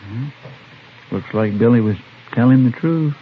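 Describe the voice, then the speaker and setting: Tinny, hissy vintage radio sound with a man acting a folksy American frontier deputy. He is mid-pitched, plain-spoken, loyal and deferential. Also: unhurried, close to an everyday voice. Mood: earnest, concerned. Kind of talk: old-time radio western acting.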